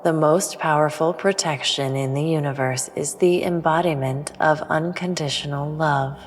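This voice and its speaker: Woman playing a low-voiced, slow, serene alien teacher. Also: slight background noise. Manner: solemn, measured, didactic. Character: serene, preachy female alien spiritual teacher, Maya. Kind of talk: audio drama acting. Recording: long reverb, music underneath.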